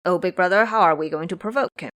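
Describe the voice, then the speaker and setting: A woman's voice: fairly low pitch, quick pace, a bit bright, lightly acted. A woman voicing a humble, dutiful male monk in an audio drama, earnest and deferential. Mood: questioning.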